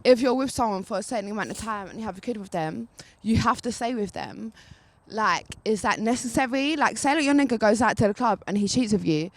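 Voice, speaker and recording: A young woman, talking loudly and brashly over others on a casual podcast. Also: on the high side, animated, brisk, dry recording, low-quality recording. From England. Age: 20s. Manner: brash, talks over others, calls people babe. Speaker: guest. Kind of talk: loud casual podcast banter.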